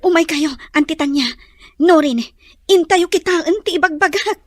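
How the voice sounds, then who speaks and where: Very high-voiced earnest young friend woman acting. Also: normal speed, no room sound. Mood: urgent. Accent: Ilocano. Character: earnest, polite young female friend bearing news. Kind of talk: Ilocano radio-style melodrama acting.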